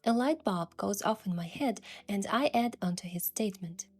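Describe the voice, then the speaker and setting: Woman as mellow, soft-spoken young princess narrator. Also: medium pitch, expressive, normal speed, breathy edge. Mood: soft, reflective. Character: curious young woman posing as an indulgent princess. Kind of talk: scripted first-person story narration with acted dialogue.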